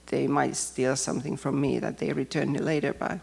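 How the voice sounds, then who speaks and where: A woman from Finland with a Finnish accent, speaking English as a second language and giving a personal testimony in a careful, hesitant way with frequent pauses. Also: extremely low pitch, little pitch movement, vocal fry, darkish tone, breathy edge. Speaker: church member giving testimony.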